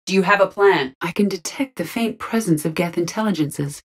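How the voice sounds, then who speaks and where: The ship's composed android crewmate in a sci-fi shooter, briefing the squad in a lowish female voice, formal, exact and analytical. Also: husky. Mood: calm, analytical. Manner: precise, formal, measured diction. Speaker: game character, squad companion. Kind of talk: video game voice lines, sci-fi acting.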